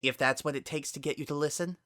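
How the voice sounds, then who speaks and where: A young female pony from a dramatic storybook, acted by a man, pitched high and bright. She is stubborn and pleading, with a sharp, agitated edge. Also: steady tone, fast talker, no echo, clean studio sound. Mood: defiant.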